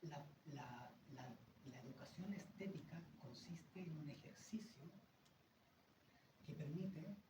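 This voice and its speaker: A man's voice: a little high, slow. A man lecturing at a seminar, answering questions with hesitant restarts and frequent tag questions. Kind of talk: academic Q&A. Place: seminar room. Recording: roomy, distant mic, noisy.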